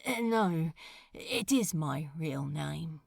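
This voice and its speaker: A woman voicing a laconic, awkwardly kind male demon with a frank, halting manner in an audiobook. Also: deep voice, expressive, creaky, darkish tone, no room sound, clean studio sound, pitched below the performer's own voice, heavily acted. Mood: matter-of-fact.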